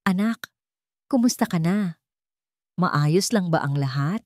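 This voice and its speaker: Storybook mother role, performed by a female narrator: middle-aged, anxious, caring and gently probing, with a dry close sound. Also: lowish voice, expressive, slow pace, no room sound. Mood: worried, caring. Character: worried, trusting middle-aged mother on the phone.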